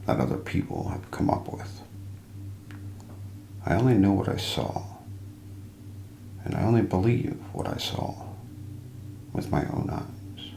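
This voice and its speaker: A deep-voiced man with heavy vocal fry narrates mysteries in a hushed ASMR style. He moves slowly and deliberately, building suspense. The recording is roomy, with light background noise. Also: sing-song. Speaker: narrator and host of an ASMR role play.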